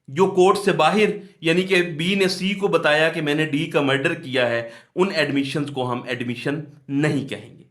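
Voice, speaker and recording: A man's voice: fairly high pitch, a bit bright. A man with a Pakistani accent teaching law, reading provisions aloud and explaining them in a didactic way, often posing questions and answering them. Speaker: law lecturer. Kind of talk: exam-focused lecture, reading statutes aloud and explaining.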